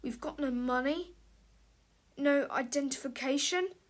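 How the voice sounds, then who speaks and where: Excitable storybook schoolboy, very high and loud, urgent, exclamatory delivery, played by a woman. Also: a little slow. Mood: worried, urgent. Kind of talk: children's storybook read-aloud.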